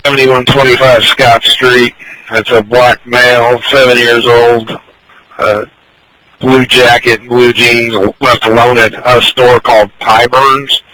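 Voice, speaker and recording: Bright-voiced man on police radio. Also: medium pitch, flat delivery, slow, rough recording. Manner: terse radio phrasing. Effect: police radio transmission.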